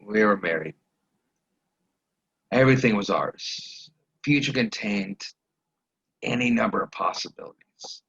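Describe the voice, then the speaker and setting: A man acting a weary, resigned married man in a halting, reflective dramatic monologue, with a husky voice. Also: fairly low pitch, lively intonation, fairly bright tone, dull audio, full character voice. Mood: wistful, resigned.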